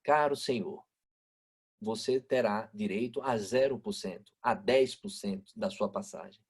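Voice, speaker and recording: Husky-voiced man lecturing, northeastern Brazilian accent. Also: on the low side, measured pace. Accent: northeastern Brazilian Portuguese, Aracaju. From Aracaju, northeastern Brazil. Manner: didactic, rhetorical questions and frequent check-in tags. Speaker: law professor, lecturer. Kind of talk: live online lecture explaining law with example cases. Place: online video-call lecture.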